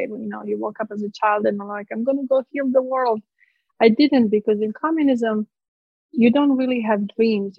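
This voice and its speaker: A woman's voice: mid-range voice, no room sound. A woman in her forties from Croatia with a Croatian accent, a physician earnestly explaining her approach in an interview, with frequent fillers and restarts. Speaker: physician guest. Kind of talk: conversational interview answers. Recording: dull, dry, slightly lo-fi sound.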